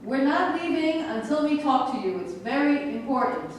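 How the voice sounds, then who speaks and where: Businesslike, insistent schemer read by an actress, higher voice, staged reading picked up from a distance. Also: female voice, very animated, normal speed. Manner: insistent, matter-of-fact. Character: pushy, businesslike schemer offering a bribe. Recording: echoey room, distant mic, faint noise.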